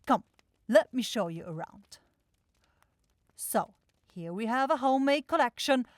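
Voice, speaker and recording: A theatrical horned demon shopkeeper, played by a woman, effusive and sure of herself. Her voice sits fairly high and is recorded dry, in fantasy roleplay style. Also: expressive, a little slow, no room sound. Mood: effusive, confident. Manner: effusive, self-assured sales patter. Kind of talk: fantasy ASMR roleplay acting.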